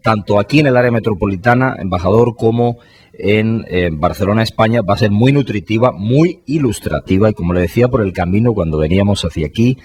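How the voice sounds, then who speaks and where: A man hosting a live radio talk show, greeting listeners formally and pausing often with "eh". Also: lowish voice, husky. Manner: warm formal greetings with frequent "eh" fillers. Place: radio studio.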